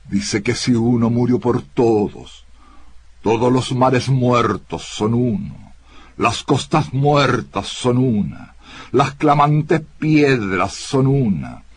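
A man with a husky voice recites poetry in a grave, incantatory manner with deliberate pauses. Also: medium pitch. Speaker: poetry reader.

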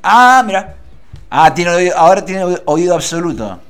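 An Argentine man co-hosting a radio show, with a somewhat high voice. He banters in a joking, playful way and sometimes puts on a grand announcer tone for laughs. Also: big pitch swings, normal speed, a bit bright, soft background music. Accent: Argentine Spanish. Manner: joking, theatrical announcer bits. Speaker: radio co-host.

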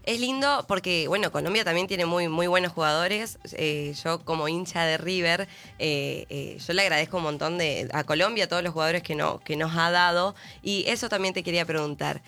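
A woman's voice: lowish voice, normal speed, few pauses, pushed and bright, soft background music. A woman with an Argentine accent, co-hosting a radio interview and asking chatty questions with hesitations. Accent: Argentine Spanish. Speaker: radio co-host.

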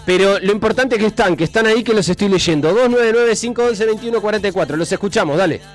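Man, Rioplatense accent, radio host, high voice, playful, excitable, faint music behind. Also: fast talker. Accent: Rioplatense Spanish. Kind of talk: excited live radio call-in show.

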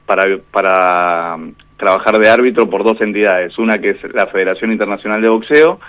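A man with an Argentine Spanish accent, a boxing referee speaking as a guest over the phone on a radio show, measured and hesitant with many fillers. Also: lowish voice, fairly bright tone, muffled recording. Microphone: phone line.

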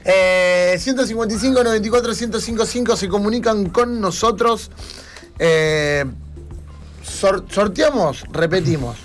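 A man's voice: high-pitched, measured pace. A man with an Argentine Rioplatense accent, hosting a radio show in a casual live chat style. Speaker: radio host. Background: music.

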